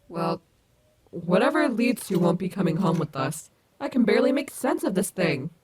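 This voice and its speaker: A woman's voice: lowish voice, darkish tone, slight background noise, natural voice. A woman playing a cocky but caring animatronic wolf rock star in an ASMR audio roleplay, teasing and affectionate. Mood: baffled, dismissive.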